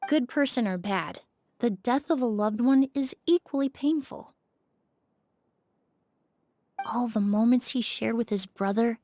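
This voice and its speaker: Video game investigator, young woman: fairly high voice, calm, gentle, polite, precise and measured. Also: no echo. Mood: solemn, composed.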